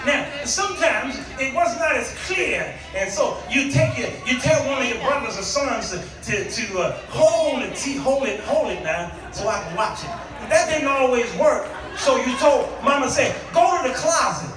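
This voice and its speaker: High-pitched American preacher in an echoing hall. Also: male voice, lively intonation, background noise. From Chicago, United States. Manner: theatrical, exclamatory, drawn-out emphasis with rhetorical questions. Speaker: guest preacher. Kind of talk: nostalgic sermon to a congregation. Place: church hall, preaching to a congregation. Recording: echoey hall, distant microphone.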